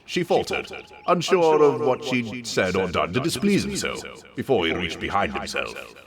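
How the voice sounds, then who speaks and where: Deep-voiced, highly animated male storyteller. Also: normal speed, vocal fry, recorded from a distance, crowd noise. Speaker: story reader. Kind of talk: theatrical comic story narration.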